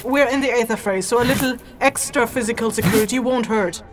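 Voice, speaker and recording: A woman's voice: a little high, fairly quick, natural voice. A woman playing a stern, distrustful mage who leads an expedition in a fantasy audio drama, curt and sardonic. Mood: brisk, commanding. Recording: music behind the voice.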